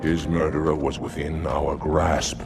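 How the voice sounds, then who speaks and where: A man's voice: extremely low pitch, little pitch movement, unhurried, exaggerated voice. A man voicing a stern, indignant alien military commander in a video game cinematic, speaking formally and with restraint. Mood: indignant, restrained. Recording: music clearly behind.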